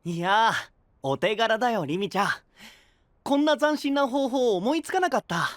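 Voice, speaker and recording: A man voicing a cheerful, playful young male knight in an anime voice drama, with a bright, joking manner. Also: very high voice, lively intonation, moderate pace, no room sound, clean studio sound. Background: music.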